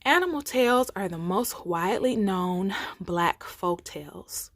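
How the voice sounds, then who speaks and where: A woman reading folktales aloud for children as a storyteller, with deliberate, theatrical phrasing. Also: mid-range voice, expressive, a bit bright, no echo, clean studio sound. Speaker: storytime reader.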